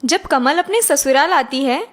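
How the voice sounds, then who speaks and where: A woman's voice: high voice, lively intonation, a little slow. A woman reading scripted narration for a children's moral story.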